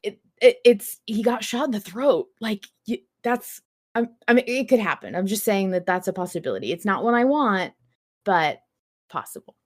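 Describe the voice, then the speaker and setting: A woman's voice: medium pitch, expressive, moderate pace. A woman hosting a podcast in a casual, conversational fan discussion. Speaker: podcast host.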